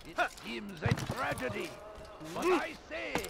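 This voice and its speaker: An actor playing a fervent zealot orator in a video game, impassioned and declaiming, heard from a distance. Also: male voice, very high-pitched, very animated, a little slow, gravelly, heavy background noise, exaggerated voice. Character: fervent male zealot orator glorifying holy war. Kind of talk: video game cutscene acting.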